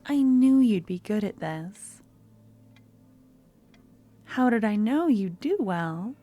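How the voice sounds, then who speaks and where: A woman playing a sweet but obsessive young student stalker in an ASMR audio roleplay, soft, coaxing and a little awkward as she talks to the listener. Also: medium pitch, lively intonation, takes it slowly, highs cut off. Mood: coy, flattering.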